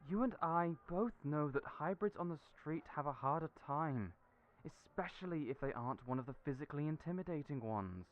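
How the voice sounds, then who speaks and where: A man voicing a young friend who talks earnestly and encouragingly, raised in pitch from his own voice. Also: expressive, brisk, natural voice. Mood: explaining. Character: warm, reassuring friend, young adult. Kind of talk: audio roleplay acting. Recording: tinny phone-like audio.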